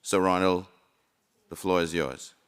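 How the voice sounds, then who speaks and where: A Bahamian man chairs the session in a very deep, smooth, resonant voice. He keeps his pitch flat and steady, speaking politely and without hurry. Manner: polite, unhurried.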